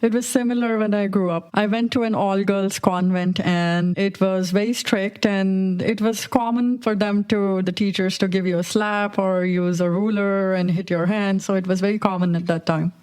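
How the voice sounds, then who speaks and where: Woman interviewer with a smooth, clear voice, direct questions. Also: mid-range voice, fairly even intonation, normal speed, few pauses, a little mellow. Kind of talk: interview questions and brief comments.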